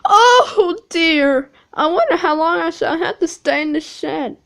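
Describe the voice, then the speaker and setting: A woman voicing a proud red male steam engine, chastened and determined, high and emotional. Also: expressive, a little slow, gravelly, fairly bright tone, exaggerated voice. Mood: tearful, sorry.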